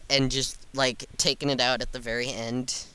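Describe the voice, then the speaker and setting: Man, casual gameplay chat, upbeat and teasing, crisp bright tone, headset mic. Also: mid-range voice, level tone, moderate pace, low-quality recording. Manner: upbeat, teasing, encouraging, laughs often. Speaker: gamer co-host.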